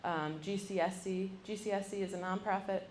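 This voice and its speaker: A woman's voice: medium pitch, steady tone, a little fast, slight background noise. A young woman moderating a university panel, introducing each speaker with frequent ums. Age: young adult. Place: lecture room. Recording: roomy, mic from a distance.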